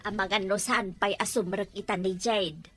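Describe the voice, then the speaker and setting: A woman's voice: medium pitch, steady tone, moderate pace, faint music behind, natural voice. A woman with a Filipino accent playing a practical, outspoken mother in an audio drama. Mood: matter-of-fact. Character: practical, outspoken mother fussing over family. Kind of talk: Ilocano audio drama acting.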